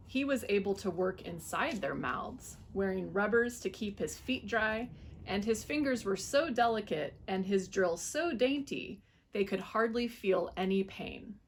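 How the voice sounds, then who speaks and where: A woman reading a picture book aloud to children, in an expressive, animated storytelling manner. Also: mid-range voice, moderate pace, slight background noise.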